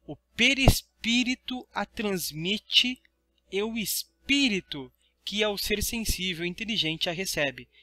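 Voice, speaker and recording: A man's voice: sits high, animated, unhurried, pushed and bright, no room sound. A Brazilian man teaching a study lesson in a didactic way, reading aloud and explaining. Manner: didactic, checks understanding with tag questions.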